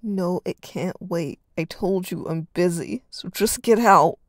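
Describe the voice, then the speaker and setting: A storyteller voicing a stressed teenage older brother who snaps and yells harshly. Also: mid-range voice, a little slow, dry recording, studio quality, natural voice. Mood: curt, tense. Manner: harsh, curt, yelling.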